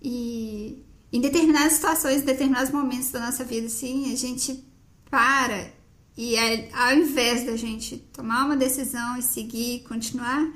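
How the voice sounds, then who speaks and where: High-voiced woman explaining ideas. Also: fairly even intonation, moderate pace, fairly bright tone. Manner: thoughtful, explaining ideas, frequent "né". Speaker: podcast co-host. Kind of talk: casual chat.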